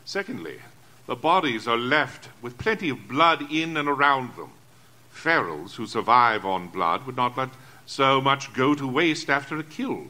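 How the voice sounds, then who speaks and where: An actor playing an eloquent, self-assured gentleman big game hunter in an audio drama, speaking in formal, measured oratory. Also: medium pitch, animated. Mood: grave, expository. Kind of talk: theatrical audio drama acting.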